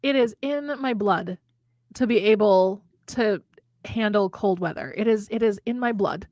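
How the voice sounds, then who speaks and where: A woman's voice: mid-range voice, a little slow, darkish tone, dry recording, highs cut off. An American woman, a podcast co-host, chatting casually and telling funny stories with frequent laughter. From United States. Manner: chatty storyteller, laughs often. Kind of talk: casual chat between friends.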